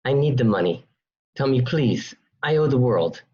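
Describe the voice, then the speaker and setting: A man's voice: mid-range voice, expressive, normal speed, dark tone, close to an everyday voice. Man acting a needy, pleading mob associate. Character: pleading mobster-world man asking for favors. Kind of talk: amateur radio play acting. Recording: dull lo-fi home recording.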